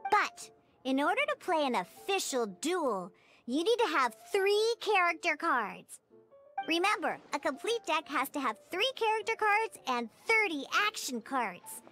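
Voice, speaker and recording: A woman voicing an excitable little mascot in a video game, explaining rules in a bubbly, emphatic, sing-song way. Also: very high-pitched, measured pace, soft background music, full character voice. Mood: excited, instructive. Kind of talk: animated game tutorial dialogue.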